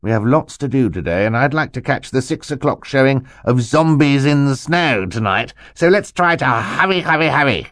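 An excitable older uncle in an audiobook, acted out by a male narrator. His delivery is jovial, emphatic and theatrical, leaping well above a normal speaking pitch and swinging widely, with a self-important streak. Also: moderate pace, hardly any pauses, no echo, clean studio sound, close to an everyday voice. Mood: jovial, hurried. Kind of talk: audiobook character voice.

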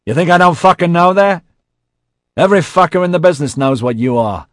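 A man's voice: on the low side, moderate pace, dry recording, natural voice. A man voicing a foul-mouthed, bullying studio boss in a narrated novel, aggressive and mocking. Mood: sneering.

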